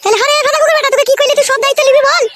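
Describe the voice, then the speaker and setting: A woman's voice: very high-pitched, fairly even intonation, very fast, background noise. A woman voicing a stern middle-aged mother-in-law in a comic cartoon, nagging and challenging her son-in-law. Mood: scolding, indignant. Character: shrill, scolding middle-aged mother-in-law siding with daughter. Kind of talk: comic cartoon dialogue in village family quarrel.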